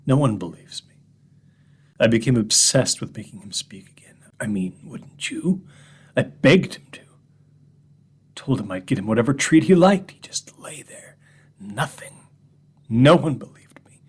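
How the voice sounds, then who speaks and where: Male reader as unravelling, bitter storyteller; husky, mid-pitched, swings between loud and whispered. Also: heavily acted. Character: shaken man addressing a room of gentlemen. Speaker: narrator. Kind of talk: first-person horror story reading.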